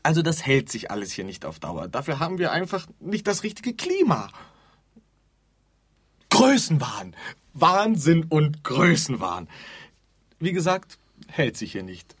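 An actor playing an offhand, forgetful keeper of an empty afterlife in a radio drama, rambling and groping for words. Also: male voice, a little high, huge pitch swings, measured pace, long pauses, fairly bright tone, slightly rough, dry recording, heavily acted. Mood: groping, resigned. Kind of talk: theatrical radio drama dialogue.

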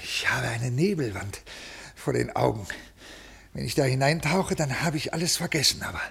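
A husky, delirious, guilt-ridden bureaucrat. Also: male voice, medium pitch, fairly bright tone, exaggerated voice. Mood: feverish confession. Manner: halting, anguished, pleading. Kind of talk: 1950s German radio play acting. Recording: 1950s radio studio, long reverb.

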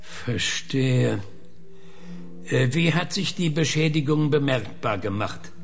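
An actor playing a sixty-eight-year-old scientist in a radio drama, questioning in a measured, methodical and patient way. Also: male voice, medium pitch, animated, vocal fry, faint music behind. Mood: patient, probing. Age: 60s. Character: probing, patient male senior scientist, aged sixty-eight.

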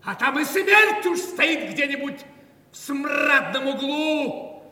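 An actor playing an anguished poor man, declaiming a theatrical monologue with great passion, swinging from hushed words to cries. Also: very high voice, expressive, takes it slowly, heavily acted. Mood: anguished, impassioned. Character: anguished poor man bewailing a starving beggar child. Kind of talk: theatrical dramatic monologue in an audio play. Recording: echoey hall, distant mic, music behind.

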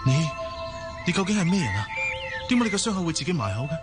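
A fast-talking, sceptical male doctor. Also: high voice, very animated, loud music behind the voice. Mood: amazed. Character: wary, sceptical male doctor, travelling companion. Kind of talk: Cantonese radio drama acting.

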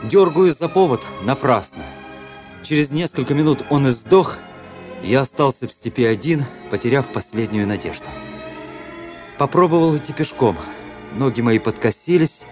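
A man's voice: mid-range voice, expressive, normal speed, a little mellow, lightly acted. An actor playing an anguished, brooding officer who narrates his lost love in a radio drama, with theatrical, intense phrasing. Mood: exhausted despair. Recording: prominent music under the voice. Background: music.

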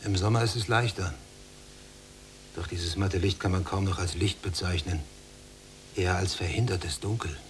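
Low-voiced, despairing young prisoner played by an actor. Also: male voice, fairly even intonation, moderate pace, pauses often, slight background noise, lightly acted. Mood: gloomy. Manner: brooding, complaining, sometimes muttering. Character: younger male prisoner, restless, despairing, resentful. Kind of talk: literary radio drama acting.

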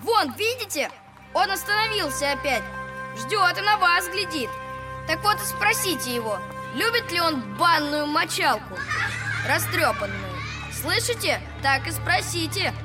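A woman playing a cocky, bossy schoolboy ringleader in an audio drama, excitable and taunting. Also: extremely high pitch, measured pace, exaggerated voice. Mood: taunting, urging. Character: cocky, jeering schoolboy ringleader, about eleven. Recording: studio drama with loud music underneath. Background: music.

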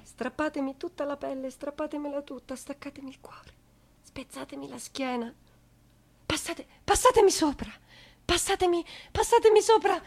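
A woman's voice: high voice, normal speed, vocal fry, voice pushed up. A woman playing a desperate grieving woman, pleading and repeating herself in a theatrical monologue. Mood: broken whisper. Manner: pleading, repetitive, swinging from whispers to screams. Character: desperate grieving woman begging to be tortured instead. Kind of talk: theatrical monologue on stage or radio.

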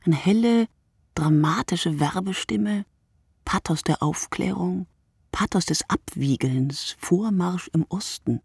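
A sleepless, reflective woman in a radio play, her low voice full of creak. She speaks in measured, short phrases, close and dry, like a literary monologue. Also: a little mellow, no echo, heavily acted. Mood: dry, ironic.

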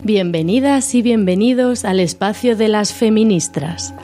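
A woman's voice: mid-range voice, measured pace, music in the background. A woman hosting a radio segment, speaking in an informal, unforced way. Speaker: segment host and writer. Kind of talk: radio talk and satirical scripted narration.

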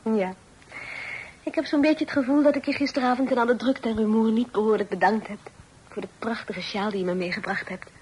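An actress playing a teasing, playful young sister in a period radio play, speaking in formal, old-fashioned phrases. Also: female voice, on the high side, steady tone, a little fast, close to an everyday voice. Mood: teasing, affectionate. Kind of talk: Dutch radio play acting. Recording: noisy old radio drama recording.

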